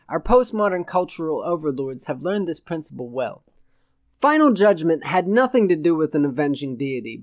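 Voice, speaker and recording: A man's voice: a little high, a little mellow, dry recording. A man narrating satirical fiction as a scripted audiobook reading.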